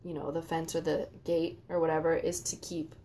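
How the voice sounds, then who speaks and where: A woman with a slightly low voice talks casually about books as a channel co-host. There is faint noise behind her as she speaks in an informal, conversational style. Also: level tone, brisk, dark tone. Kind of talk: casual book chat.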